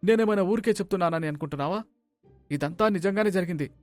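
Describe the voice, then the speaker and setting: A man's voice: sits high, fast, darkish tone, no room sound, soft background music, voice pushed up, close to an everyday voice. A man voicing a frightened, sleepless thief in a cartoon moral story, complaining in an agitated way. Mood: irritated complaint. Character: frightened, grumbling male houseguest thief, rattled and sleepless.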